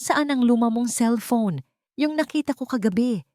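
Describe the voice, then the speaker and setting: A woman's voice: on the high side, expressive, normal speed, darkish tone, no echo, clean studio sound, voice pushed up, close to an everyday voice. Woman voicing an angry, menacing husband. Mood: angry, accusing. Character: angry, paranoid, drunken husband, menacing and controlling.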